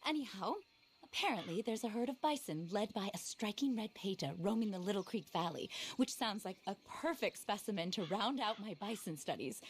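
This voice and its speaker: A woman's voice: medium pitch, expressive, fairly quick, slight background noise, natural voice. A woman acting an eccentric, passionate, animal-loving naturalist in a video game, theatrical, earnest and a little scattered. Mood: keen. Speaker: quest-giving wildlife specialist in a western game.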